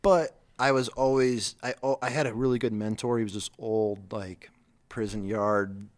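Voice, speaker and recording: A man hosting a podcast in a studio, telling stories in a blunt, animated way and swearing freely. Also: fairly low pitch, moderate pace. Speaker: podcast host. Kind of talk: casual chat.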